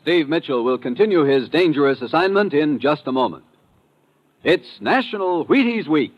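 A man's voice: fairly high pitch, very animated, moderate pace. A man performing as a formal announcer on an old-time radio adventure show. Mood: brisk, formal. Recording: muffled vintage radio, loud music behind.

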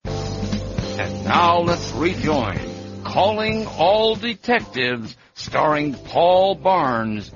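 A man's voice: fairly high pitch, very animated, drawn-out words. A man announcing a radio show in scripted style. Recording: muffled old radio recording. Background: music.

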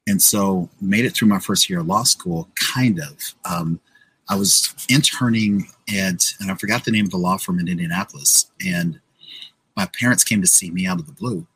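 Husky American man in his forties. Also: low-pitched, normal speed, a bit bright, low-quality recording. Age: 40s. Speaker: interview guest, professional telling career story. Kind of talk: casual conversational storytelling.

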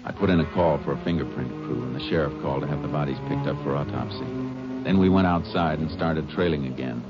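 An actor playing a calm, shrewd Texas Ranger in a 1950s radio drama, speaking in a terse, deliberate way. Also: male voice, rumbling low, loud music behind the voice. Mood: measured narration. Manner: terse, deliberate, matter-of-fact. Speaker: lead actor and narrator. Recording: noisy, muffled 1950s radio recording.

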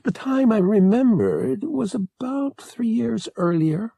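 A man's voice: high voice, expressive, takes it slowly, vocal fry, warm tone, breathy edge, no room sound, voice pushed up, heavily acted. A man voicing an indignant, suspicious young brother who argues in an emphatic, exasperated way. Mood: accusing. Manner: emphatic, argumentative.

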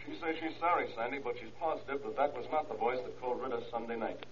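An actor playing an eager, brisk, informal newspaperman heard through a telephone filter in a 1940s radio drama. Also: medium pitch, fairly bright tone, lo-fi sound. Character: eager male newspaperman phoning in a tip. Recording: thin phone voice on old radio.

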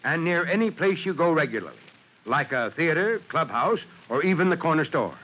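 Fire chief, muffled radio. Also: male voice, fairly high pitch. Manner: instructive, clear-spoken, addressing children. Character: fire chief giving friendly safety advice to children. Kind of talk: old-time radio public-service address. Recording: muffled old radio recording.

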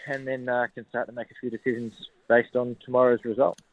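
An Australian man, a racehorse trainer, speaks over a phone line that sounds thin and tinny. His delivery is flat and unhurried, padded with ums and uhs. The sound is dry with no room around it. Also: mid-range voice, dry recording. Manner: relaxed, plenty of ums and uhs. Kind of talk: phone interview.

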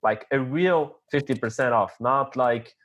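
A man whose first language is French talks casually as a podcast guest. He gives practical advice in an earnest, steady way, with hesitant fillers and restarts while he searches for words. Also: mid-range voice, unhurried. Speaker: podcast guest, online store founder. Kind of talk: casual interview talk.

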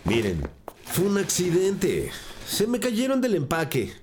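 An actor playing a nervous, evasive school bus driver and drug dealer, pleading and defensive, in a crime audio drama. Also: male voice, sits high, wildly swooping pitch, a little slow, background noise, heavily acted. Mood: panicked pleading.

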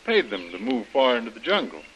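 An earnest plantation heir in a vintage radio drama. His mid-pitched voice rises and falls in a lively way, over faint recording noise. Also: brisk, low-quality recording. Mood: earnest, explaining. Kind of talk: 1930s radio acting.

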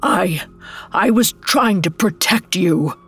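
A woman voicing an older, dying mother superior in a dark fairy tale, halting and pleading, by turns fanatical and remorseful. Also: mid-range voice, lively intonation, slightly rough, soft background music, full character voice. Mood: stammering, defensive.

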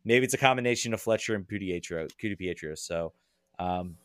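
A man's voice: sits low, fairly quick, clean tone, no room sound, clean studio sound. A man giving casual soccer analysis as a guest, hesitant and working through his thoughts as he talks. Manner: hesitant, thinks aloud, hedges. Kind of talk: casual sports discussion.

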